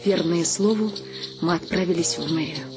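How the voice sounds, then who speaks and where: A woman's voice: on the low side, moderate pace, natural voice. An actress playing a wry, ironic woman writer, telling and acting out her story expressively in a radio play. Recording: music under the voice.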